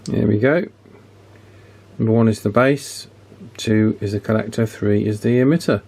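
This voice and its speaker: A man's voice: fairly low pitch, normal speed, gravelly, a little mellow, breathy edge. A British man giving casual, hands-on repair commentary, thinking aloud in a matter-of-fact way as he presents. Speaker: radio repair hobbyist presenter.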